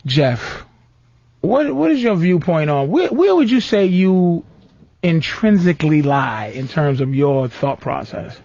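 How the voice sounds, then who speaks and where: A man hosting a comedic radio talk show, telling stories in an animated way with a raspy voice and acted-out quotes. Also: on the high side, measured pace, dry recording. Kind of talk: comedic radio banter with guests. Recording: dull lo-fi radio recording.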